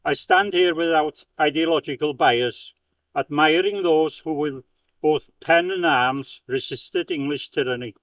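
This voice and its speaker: A man from Wales reading a prepared speech aloud in a slow, declamatory way, with stumbles and restarts. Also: a little high, fairly bright tone, no echo, far from the mic, poor audio. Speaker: speaker at a commemoration.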